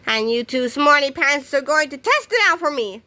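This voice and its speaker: A woman's voice: high-pitched, very animated, normal speed, voice pushed up, exaggerated voice. A woman voicing a bossy, indignant, brainy schoolboy nerd in a storybook reading. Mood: smug, bossy. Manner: indignant, commanding, shouting.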